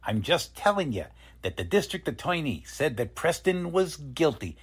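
A man's voice: on the high side, sing-song, moderate pace, breathy edge, heavily acted. A man playing a cynical, streetwise newspaper photographer with slangy teasing talk in a 1940s-style radio drama. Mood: cynical, insistent.

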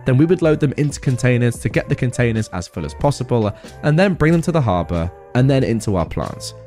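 Guy reading a story aloud, relaxed, voice goes up and down a lot, soft music behind. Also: medium pitch, quick pace. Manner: relaxed first-person storytelling. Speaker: podcast host reading stories. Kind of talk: storytime narration.

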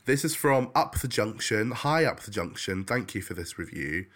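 Gravelly-voiced young man with a cough. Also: on the low side, lively intonation, moderate pace, fairly bright tone. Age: 20s. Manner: dry, teasing, laughs often. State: hoarse from a bad cough. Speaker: podcast co-host. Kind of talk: casual chat and scripted recap. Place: at home, recording remotely.

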